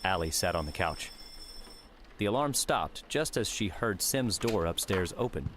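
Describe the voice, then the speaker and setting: A man narrating an audiobook in a steady, measured reading. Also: sits low, background noise.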